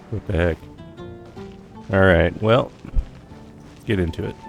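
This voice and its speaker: A man's voice: deep voice, slow, gravelly, soft background music. A man giving casual, laid-back let's-play commentary as a video game streamer.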